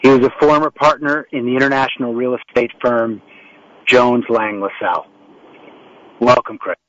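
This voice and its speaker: A man's voice: medium pitch, fairly even intonation, moderate pace, a bit bright, dry recording. A man hosts an interview show from home over a remote line. He talks in a mid-range voice, circling back and restarting his sentences as he frames a question. The sound is dry and slightly muffled, with no room around it. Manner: rambling, with frequent restarts and fillers. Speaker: talk show host. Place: home setup in New York. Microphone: remote call setup.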